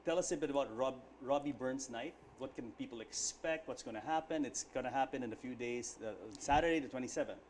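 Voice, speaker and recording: Fast-talking guy hosting a chat show, upbeat and chatty, light hiss. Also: medium pitch. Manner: enthusiastic, chatty interviewer. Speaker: talk show host. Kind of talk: studio talk show interview.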